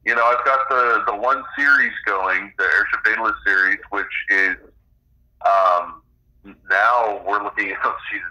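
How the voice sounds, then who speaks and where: A man's voice: fairly low pitch, steady tone, a little slow, pushed and bright, low-quality recording. A middle-aged man explaining his own creative work as a guest in a casual interview. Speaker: interview guest, game designer and author.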